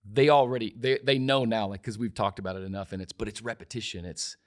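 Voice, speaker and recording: Emphatic forty-year-old man, low voice. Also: fairly even intonation, fast. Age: 40s. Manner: emphatic, repeats phrases, many restarts. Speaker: podcast guest. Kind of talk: casual conversational interview.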